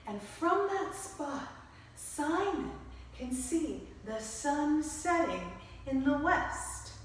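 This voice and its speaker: In a roomy, echoing space with faint noise, a woman tells a children's story. Her voice sits fairly high and she takes her time, expressively inviting listeners to move along with the tale. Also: very animated, extremely slow. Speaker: storyteller, author reciting own story. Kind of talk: children's storytime with movement cues.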